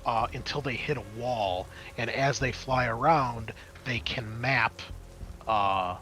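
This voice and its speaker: A man's voice: medium pitch, slow, pushed and bright, soft background music. A man acting as game master, narrating a tabletop roleplaying game in a casual, explanatory, conversational way. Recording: clear background noise.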